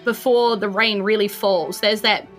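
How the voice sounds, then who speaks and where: A woman with a New Zealand accent narrating a tabletop game as game master, in an informal, halting, descriptive way. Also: medium pitch, steady tone, soft background music.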